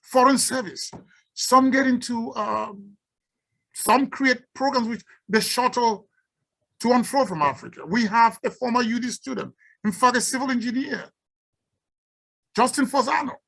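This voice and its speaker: A woman's voice: a little high, a little slow, gravelly, pauses often, fairly bright tone, poor audio. A woman from Cameroon with a Cameroonian accent, giving an unscripted symposium talk and taking questions, often stumbling and repeating words. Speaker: symposium speaker and researcher.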